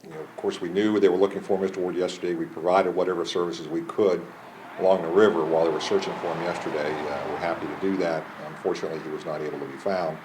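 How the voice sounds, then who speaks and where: Deep, quick-talking American lawman. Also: steady tone. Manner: matter-of-fact, procedural. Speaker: law enforcement official. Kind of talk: interview statement to news. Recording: faint background noise.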